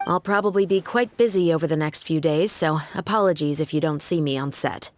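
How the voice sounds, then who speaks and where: A woman's voice: low voice, a little fast, darkish tone, slight background noise, natural voice. A woman voicing a composed, businesslike female police officer in a video game. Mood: courteous, firm.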